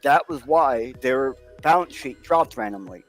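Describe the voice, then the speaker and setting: A man's voice: medium pitch, animated, measured pace, soft background music. Man earnestly explaining at length. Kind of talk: casual chat.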